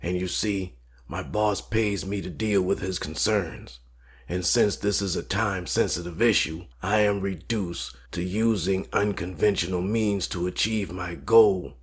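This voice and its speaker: A man acts out a smooth mob fixer for a crime audio drama. His voice is very deep with a rough, husky edge. He talks formally and deliberately, polite on the surface and coolly threatening underneath.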